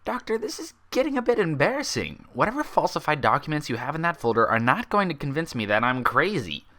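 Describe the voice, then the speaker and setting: A man's voice: a little high, sing-song, fast talker, sharp tone, voice pushed up. A man voicing an indignant young female unicorn pony in a story reading, bookish, stubborn and insistent. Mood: exasperated. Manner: insistent, emphatic, argumentative.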